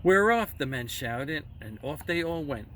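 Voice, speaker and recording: A man reading a rhyming children's story aloud in a theatrical, deliberate way with emphatic phrasing. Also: medium pitch, fairly bright tone. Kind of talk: dramatic children's story narration in rhyme. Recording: distant mic with faint background noise.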